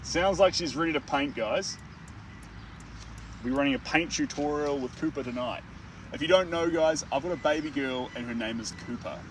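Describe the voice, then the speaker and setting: A man's voice: fairly high pitch, normal speed. Rambling Kiwi guy chatting outdoors. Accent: New Zealand. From New Zealand. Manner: rambling, chatty, self-mocking. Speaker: artist livestreaming. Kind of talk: casual live chat while painting. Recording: distant mic, steady outdoor and traffic noise.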